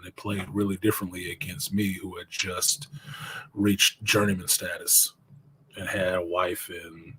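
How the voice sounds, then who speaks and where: A man's voice: deep voice, fairly bright tone, a little hiss or hum, low-quality recording. A middle-aged man speaking as an interview guest, telling his own story in a blunt, plainspoken way and cursing now and then.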